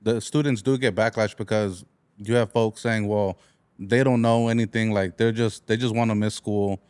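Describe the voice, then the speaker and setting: A man's voice: lowish voice, fairly even intonation, normal speed, darkish tone, clear, resonant tone. An American man co-hosting a podcast, asking questions in casual conversation. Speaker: podcast co-host. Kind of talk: casual chat.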